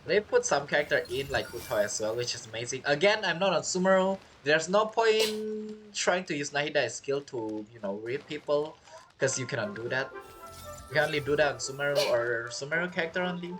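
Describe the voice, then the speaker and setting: A man's voice: a little high, fairly quick, a bit bright. A man giving casual, chatty gameplay commentary, reacting informally as he plays. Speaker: game streamer. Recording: game music behind voice.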